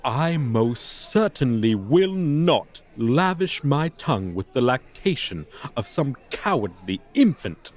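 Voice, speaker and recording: Man acting as male dragon teacher, haughty, formal, stilted diction, unhurried pace, dramatic pitch swings, roleplay monologue. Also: sing-song. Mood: flustered, defensive. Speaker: roleplay voice performer. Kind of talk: ASMR roleplay monologue, theatrical.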